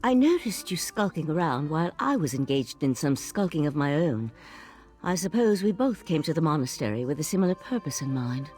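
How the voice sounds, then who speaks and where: Casting: a sly, inquisitive female game investigator, measured and wry, lively intonation over music. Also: low-pitched. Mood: wry, purposeful. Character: shrewd, secretive investigator, calm and curious. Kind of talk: video game character dialogue.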